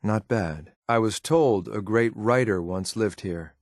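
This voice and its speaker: A low, close and dry male voice for a video game synth enforcer. He speaks in clipped, controlled phrases, coolly watchful and faintly disdainful of what is around him. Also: unhurried, darkish tone, no room sound. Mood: detached, observant. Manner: clipped, matter-of-fact, controlled, faintly condescending. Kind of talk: video game companion voice lines.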